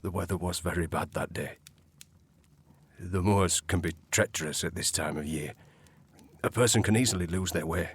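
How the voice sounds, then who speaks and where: An actor playing a halting, respectful, sombre manservant with a husky voice in a period audio drama. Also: male voice, sits low, dry recording. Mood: sombre, apologetic. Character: apologetic, deferential manservant of a great house.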